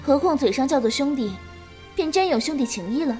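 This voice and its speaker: A woman playing a calm, principled, protective elder-sister swordswoman in a martial-arts audio drama. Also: sits high, brisk, soft background music. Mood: stern, righteous. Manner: composed, coaxing, righteous lecturing.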